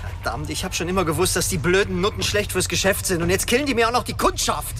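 An actor playing a coarse, stammering, grumbling snack bar owner in a radio drama. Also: male voice, high-pitched, quick pace, fairly bright tone, a little hiss or hum. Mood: agitated, grumbling.

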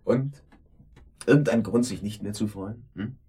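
A man's voice: fairly low pitch, measured pace, mellow, breathy edge. An actor playing an irritable, self-assured man on holiday in a naturalistic radio drama, sardonic and insistent. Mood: irritated, sardonic.

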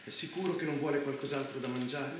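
An actor playing the courteous but condescending master of a dystopian bunker, formal and measured in a stage drama. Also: male voice, mid-range voice, fairly even intonation, lightly acted. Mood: courteous, hosting. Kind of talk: Italian theatre dialogue. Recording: very echoey theatre, faint noise.

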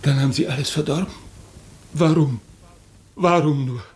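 Guy acting a whiny conman nephew in a radio play, high voice, pleading, theatrical, always excusing himself. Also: moderate pace, darkish tone, slight background noise, close to an everyday voice. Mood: pleading, self-pitying. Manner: pleading, self-justifying, theatrically emotional. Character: wheedling, self-pitying swindler nephew, a failed priest.

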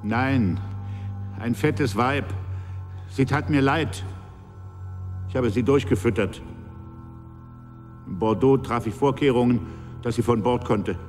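An actor playing a somber sea captain on trial in a German radio drama, recounting events in stark, measured phrases. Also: male voice, medium pitch, a little mellow, close to an everyday voice. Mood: matter-of-fact. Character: grave male sea captain on trial, recounting his shipwreck. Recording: long reverb, faint music behind.

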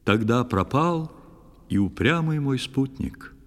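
A man narrating a verse tale in an audio play, with theatrical, expressive recitation. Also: mid-range voice, slow. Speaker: actor-narrator. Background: music.

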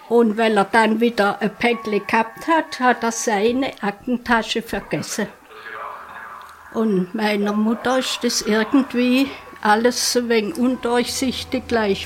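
An older woman with a southern German accent, recalling things spontaneously as an eyewitness in an interview. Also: on the high side. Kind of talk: spontaneous spoken recollection.

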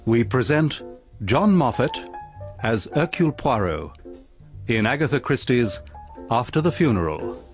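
A man's voice: medium pitch, very animated, a little slow, long pauses. A man announcing the title of a radio drama in a scripted, presentational style. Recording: dull recording with loud music. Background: prominent music.

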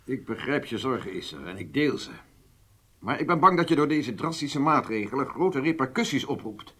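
An actor playing a worried, cautious senior government official in a Dutch radio drama, with formal, measured phrasing. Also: male voice, mid-range voice, sing-song, pushed and bright, breathy edge, full character voice. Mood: concerned.

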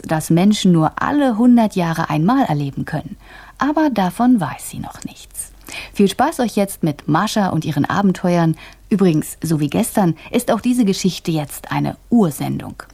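Mellow, husky female radio presenter. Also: on the low side. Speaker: radio presenter introducing a play. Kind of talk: scripted introduction addressed to children.

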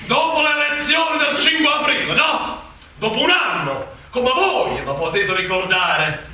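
Shrill, pompous demagogue. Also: male voice, big pitch swings, full character voice. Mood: coaxing, rhetorical. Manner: pompous, shouted, drawn-out declamation. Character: bombastic male small-town politician haranguing citizens, buffoonish demagogue. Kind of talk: satirical stage oratory. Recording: echoey hall from far off, faint noise.

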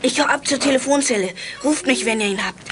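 A woman's voice: sits high, fast, background noise, close to an everyday voice. A female performer playing a sensible, confident girl detective, matter-of-fact, in a youth radio drama. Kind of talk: 1980s German youth radio drama acting.

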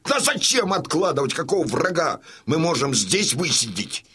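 An actor playing a gruff, imperious old Cossack colonel and father in an audio drama, with a husky voice and a bluff, emphatic delivery. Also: a little high, big pitch swings, normal speed, bright, forceful tone, full character voice. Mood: fiery, impatient. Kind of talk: Russian audio drama acting.

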